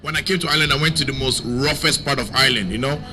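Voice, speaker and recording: A young man from Nigeria, telling a comic personal story with animated, joking delivery. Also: medium pitch, very fast. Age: young adult. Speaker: youth speaker. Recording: background noise.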